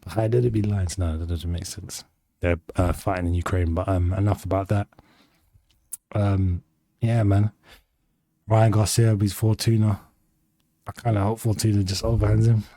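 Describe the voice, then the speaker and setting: A man's voice: on the low side, steady tone, normal speed, long pauses, mellow. A man hosting a podcast in casual chat, slangy and rambling, sniffly from hay fever. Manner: slangy, rambling, laughs easily. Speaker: podcast host.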